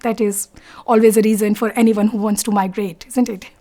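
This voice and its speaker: A woman in her forties from India with an Indian accent, telling her story in an interview, softly and haltingly, often pausing to search for words. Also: medium pitch, flat delivery. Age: 40s. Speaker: interviewee, doctor.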